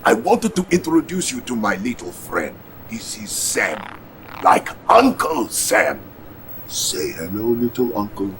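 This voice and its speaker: Scheming Soviet premier, middle-aged, Russian accent, gravelly and highly animated, pompous mock courtesy, campy game cinematic. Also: male voice, a little slow, a little hiss or hum, exaggerated voice, low-quality recording. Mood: gleeful, genial. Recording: music underneath.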